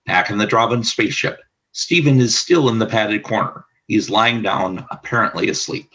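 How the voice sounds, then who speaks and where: A man narrating a scripted radio play as announcer, in a formal, deliberate storytelling manner. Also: lowish voice, a bit bright, breathy edge, lo-fi sound.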